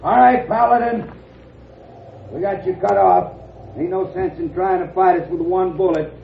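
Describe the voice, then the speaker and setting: A man's voice: high-pitched, normal speed, creaky. An actor playing an outlaw gunman, blustering and easily cowed, in a 1950s radio Western. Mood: taunting, urgent. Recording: old radio, tinny, noisy, echoey.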